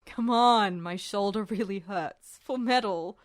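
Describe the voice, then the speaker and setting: A woman voicing a weary, gently teasing grown man in a low-key way for a story reading. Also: a little slow, dry recording, studio quality, close to an everyday voice. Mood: strained, amused.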